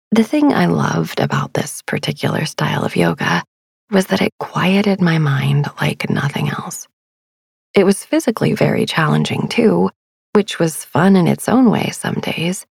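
Woman, low-pitched, heavy vocal fry, soft breathy edge, gentle meditation narration, reassuring. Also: no room sound, clean studio sound. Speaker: podcast host. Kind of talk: soothing sleep meditation narration.

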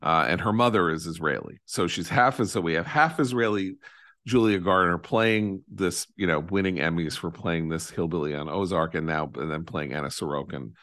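Deep-voiced man in dry close chat. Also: moderate pace, no room sound. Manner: enthusiastic, digressive, trails off to quiet. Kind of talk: casual podcast chat.

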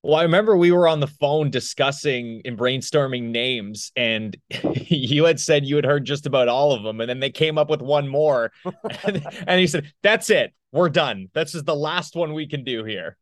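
A man's voice: on the high side, moderate pace, no echo, clean studio sound. A man chatting casually as a sports podcast co-host. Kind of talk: casual chat.

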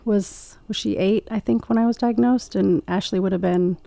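A woman in her forties, a podcast guest, talking quietly and softly with frequent hesitations. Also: mid-range voice, steady tone, normal speed, creaky, no echo. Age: 40s. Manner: quiet, soft-spoken, hesitant with fillers. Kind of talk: casual interview chat. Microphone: studio podcast mic.

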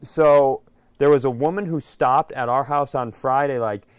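Smooth-voiced man, wry casual podcast talk. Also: medium pitch, unhurried, no room sound. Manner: opinionated, wry, rambling storyteller. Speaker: podcast co-host. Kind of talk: casual comic chat.

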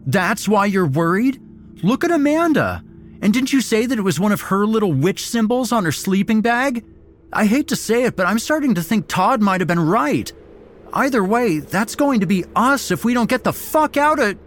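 Panicked young man for horror audio story, acted by a male narrator: high-pitched, loud, frantic and pleading. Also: very animated, moderate pace, slight background noise. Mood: agitated, accusing. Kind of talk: dramatic horror story character acting.

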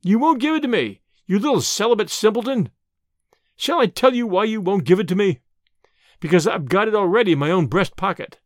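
A man's voice: high voice, very animated, fairly quick, few pauses, dry recording, studio quality. A man voicing a flamboyant, boastful giant thief in a storybook, with a theatrical, taunting manner. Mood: mocking triumph. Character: flamboyant, gloating giant master thief, male, boastful and mocking.

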